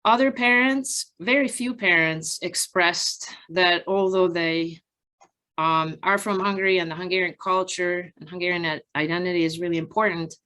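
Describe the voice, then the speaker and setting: A woman researcher giving an academic presentation from slides in a measured, halting, explanatory way with frequent pauses. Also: on the low side, a bit bright. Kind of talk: conference research presentation, partly improvised from slides.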